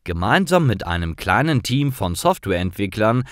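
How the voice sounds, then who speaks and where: Male narrator for learner stories: deep-ish voice, dry close sound, deliberate delivery. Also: very animated, no room sound.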